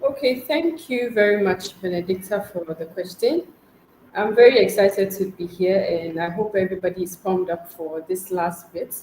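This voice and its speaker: A woman's voice: mid-range voice, normal speed, darkish tone. A woman speaking as a webinar guest, friendly, explaining things with everyday examples. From Ghana. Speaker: guest panelist, customer experience associate. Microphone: online call mic. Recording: echoey room, faint noise.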